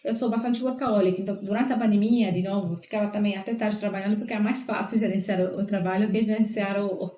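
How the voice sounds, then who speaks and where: A woman's voice: medium pitch, steady tone, fast, hardly any pauses, dark tone. A woman in her forties from northern Italy with an Italian accent, a guest chatting hesitantly and reflectively, with many restarts. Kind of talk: casual interview chat. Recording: roomy echo.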